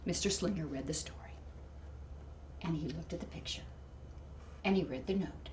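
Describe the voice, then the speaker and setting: A woman reading a children's picture book aloud expressively, with dramatic emphasis and hushed asides to her listeners. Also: sits low, normal speed. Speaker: storybook reader. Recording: distant mic, faint background noise.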